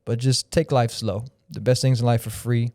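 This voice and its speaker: A man's voice: medium pitch, fairly even intonation, moderate pace, darkish tone, no room sound, studio quality. A man in his thirties from Florida, a podcast guest talking in a rambling, reflective, freewheeling way. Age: 30s. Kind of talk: casual podcast chat.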